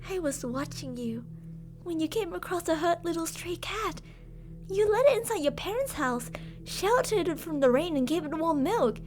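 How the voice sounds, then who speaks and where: A woman's voice: very high-pitched, expressive, normal speed, music in the background. A woman playing an obsessive, possessive yandere captor in an ASMR roleplay, intimate and doting with endearments yet menacing. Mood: tender, wistful. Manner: intimate, cooing endearments, drops to whispers. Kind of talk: ASMR roleplay, scripted monologue.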